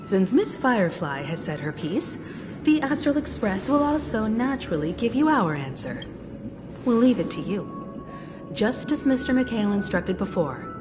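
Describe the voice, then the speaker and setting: Woman playing a composed, mid-pitched wise guide. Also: big pitch swings, moderate pace, close to an everyday voice. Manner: poised, explanatory. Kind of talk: scripted game voice acting. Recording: loud music behind. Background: music.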